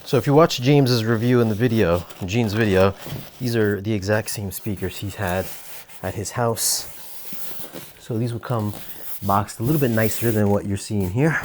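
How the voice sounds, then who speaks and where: A man's voice: lowish voice, moderate pace, darkish tone, a little hiss or hum. A man presenting a product review in a casual, conversational way, explaining details as he goes. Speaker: audio gear reviewer.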